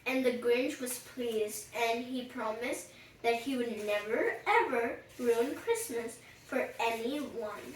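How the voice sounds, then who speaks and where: A storyteller improvising a bedtime story in a halting, childlike way, repeating words along the way. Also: medium pitch, animated. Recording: echoey room, distant mic, faint noise, clicks.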